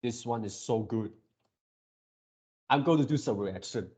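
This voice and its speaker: Slow-paced, mellow-voiced man reacting casually. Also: mid-range voice, husky. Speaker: video creator reacting to a game series.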